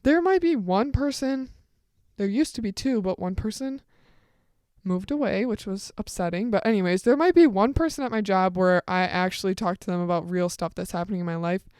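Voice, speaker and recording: Chatty teenage girl with a smooth voice. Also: fairly quick, darkish tone, no echo, studio quality. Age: teens. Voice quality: fairly deep voice for a woman. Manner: rambling, chatty, full of asides. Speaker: podcast host. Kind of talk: casual solo storytelling.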